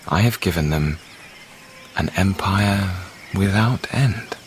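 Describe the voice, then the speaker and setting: A British actor playing a weary, reflective deposed Roman emperor in a radio drama, speaking in a measured way. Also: male voice, deep voice, a little hiss or hum. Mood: solemn, elegiac.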